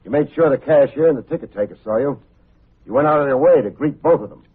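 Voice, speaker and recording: An actor playing a cool, wry, hard-boiled private investigator who talks and narrates in old-time radio drama style. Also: male voice, mid-range voice, breathy edge, close to an everyday voice. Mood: firm, pressing. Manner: wry, unhurried, wisecracking. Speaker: actor playing the lead detective, also narrating. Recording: muffled narrow-band old radio recording, faint music.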